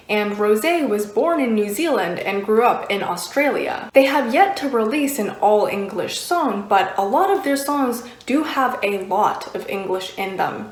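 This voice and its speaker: A woman giving an explanatory video essay commentary in a conversational, measured way. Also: a little high. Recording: roomy, echoing voice recording.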